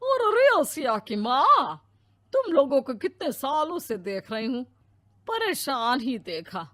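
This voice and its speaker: Slow, meddling older aunt acted by a woman. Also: high-pitched, big pitch swings, a bit bright, heavily acted. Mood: pitying. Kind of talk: moral-story dialogue.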